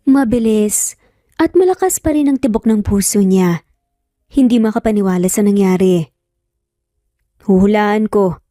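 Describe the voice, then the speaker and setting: A woman's voice: fairly high pitch, dark tone, no echo, studio quality. A woman with a Filipino accent narrating a dramatized romance story as a storyteller.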